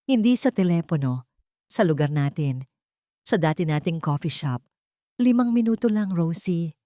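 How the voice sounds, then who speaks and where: A woman's voice: on the low side, animated, moderate pace, mellow, no echo, close to an everyday voice. Woman narrator as estranged businessman husband, imploring, audio romance drama, dry recording. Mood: pleading. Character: pleading businessman, estranged husband begging for a meeting.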